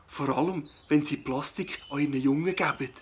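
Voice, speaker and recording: A man's voice: on the high side, moderate pace, natural voice. A man playing a friendly, earnest ornithologist in a children's audio play, explaining patiently with a Swiss accent.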